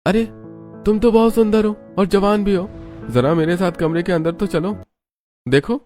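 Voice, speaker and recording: A sleazy young man , acted by a man, in a dramatized moral story. His voice is pushed up high, insinuating and forward. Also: moderate pace, a little mellow, soft background music, natural voice. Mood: leering. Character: lecherous, forward young man harassing a girl.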